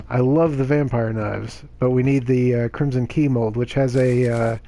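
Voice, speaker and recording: A man streaming a game, voice a little raspy from reading aloud the day before, chatting casually with lots of "you know"s and "uh"s. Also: mid-range voice, dark tone. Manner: chatty and self-justifying, lots of fillers. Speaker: gaming streamer. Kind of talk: casual gameplay commentary.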